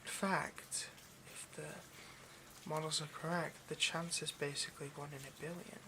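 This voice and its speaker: A man playing a possessive, condescending rich vampire in an intimate ASMR roleplay, domineering and hushed, often slipping into a whisper. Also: on the high side, flat delivery, unhurried, background noise, lightly acted. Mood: hungry, fascinated.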